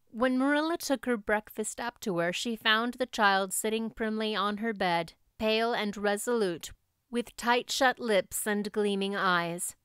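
A woman's voice: mid-range voice, fairly bright tone, clean tone, dry recording, clean studio sound. A woman audiobook narrator reading a classic story as scripted narration.

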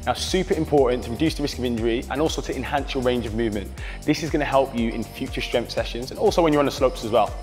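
A British man, a fitness coach, talking through exercises with steady coaching cues. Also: medium pitch, fast. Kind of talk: instructional workout voice-over. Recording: reverberant hall with background music.

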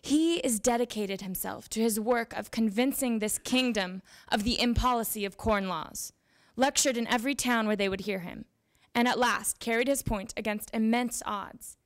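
A young woman giving a dramatic reading of old letters at a lectern, with measured, deliberate phrasing. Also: fairly high pitch. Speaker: reader at a literary event. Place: library event room with seated audience. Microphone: handheld microphone at a lectern.

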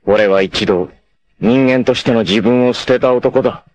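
An actor playing a stern, loyal young martial artist in a 1980s anime, with a smooth, clipped voice that bursts into ferocious battle cries. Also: male voice, medium pitch, a little slow, a little mellow, lightly acted, poor audio. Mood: grave. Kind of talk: 1980s anime dub-free Japanese voice acting.

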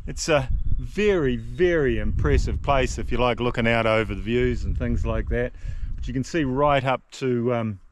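A man's voice: mid-range voice, moderate pace, a little hiss or hum. A man narrating a casual camping and hiking vlog to camera in a chatty, understated way, often adding little tag questions. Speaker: solo hiking vlogger.

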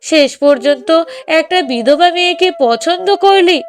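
Cartoon mother, middle-aged, stubborn and anxious, very high voice, emphatic pleading over music female voice actor. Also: normal speed, natural voice. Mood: shocked, refusing. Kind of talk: Bengali moral-story cartoon dubbing.

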